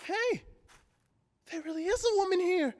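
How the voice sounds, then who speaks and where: A woman's voice: extremely high pitch, measured pace, darkish tone. A woman playing a skeptical, easygoing ten-year-old kid in an old-time radio drama, talking in folksy slang. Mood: excited. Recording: long hall-like reverb.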